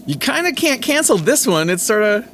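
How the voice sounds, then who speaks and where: A man's voice: very high-pitched, very animated, normal speed, fairly bright tone, a little hiss or hum. A man hosting a casual conversation as a musician, talking candidly and self-deprecatingly while he searches for words. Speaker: host musician.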